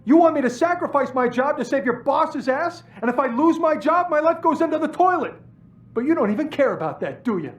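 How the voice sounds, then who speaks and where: Game role: demoted cop, self-pitying and aggrieved, highly varied intonation. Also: male voice, animated, brisk, highs cut off. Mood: bitter, agitated. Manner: aggrieved, defensive, emotional. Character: bitter, self-pitying, demoted male police desk sergeant. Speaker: video game character. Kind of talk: cinematic game dialogue.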